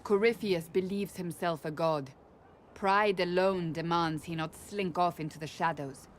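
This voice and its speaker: Resolute warrior woman played by an actress, lowish voice. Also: measured pace, a little hiss or hum, lightly acted. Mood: grave. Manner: grave, formal, firm. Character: resolute, devout female warrior and loyal advisor. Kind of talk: dramatic fantasy video game acting.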